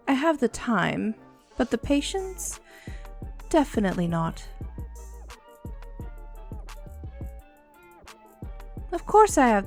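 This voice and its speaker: A woman voicing a bossy, world-weary but protective female vampire in an ASMR roleplay, speaking in a haughty, condescending, deliberate way. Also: fairly high pitch. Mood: haughty impatience. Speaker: voice actor in an audio roleplay.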